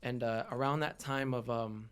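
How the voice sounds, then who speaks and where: Clear-voiced young Southern California man. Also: medium pitch, fairly even intonation, moderate pace. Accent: Southern California American. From Los Angeles area, United States. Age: young adult. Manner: open, informal, slangy. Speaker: podcast guest, rapper. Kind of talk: casual personal interview storytelling.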